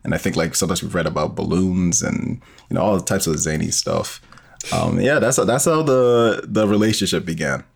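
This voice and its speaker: A man's voice: low voice, normal speed, vocal fry, breathy edge. A young man talking casually as a guest in an interview, with plenty of conversational filler. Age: young adult. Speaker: guest, podcast co-creator.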